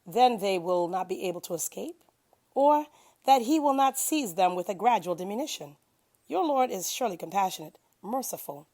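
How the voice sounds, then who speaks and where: A woman's voice: mid-range voice, animated, moderate pace, darkish tone. A woman reading a translation of scripture aloud as devotion, in a solemn, declamatory manner with emphasis on key phrases. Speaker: scripture reader.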